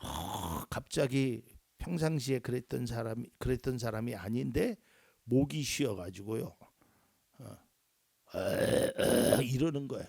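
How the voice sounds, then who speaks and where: Man, pastor, preaching, gravelly husky voice, wide lively pitch swings, drops to whispers. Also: unhurried, dry recording. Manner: animated preaching, acting out quoted voices, drops to near whispers. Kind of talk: sermon.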